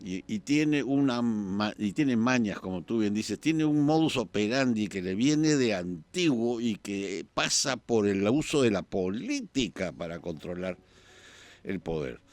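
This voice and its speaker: Un periodista peruano, invitado en un programa de debate político, que habla pausado y piensa en voz alta entre muletillas. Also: male voice, on the low side, expressive, a little mellow, no room sound. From Peru. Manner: measured, thinking aloud with pauses and fillers. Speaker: journalist guest. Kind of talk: political talk-show discussion.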